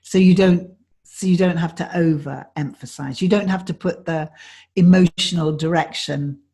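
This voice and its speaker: A woman being interviewed as an actor and director, chatting reflectively with a slightly husky voice. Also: lowish voice, fairly even intonation, normal speed, mellow.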